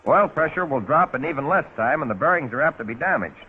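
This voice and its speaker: A man's voice: fairly high pitch, expressive, fast, husky. An American man narrating a 1940s instructional film in a scripted, instructional way with folksy, wry touches. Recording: muffled, phone-like 1940s film soundtrack.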